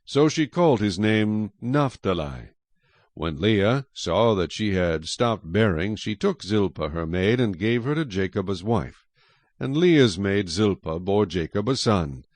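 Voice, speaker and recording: Guy narrating bible story, lowish voice, really animated storyteller delivery, dry booth sound. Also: measured pace, no room sound. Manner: storytelling, expressive. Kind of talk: dramatic scripture narration.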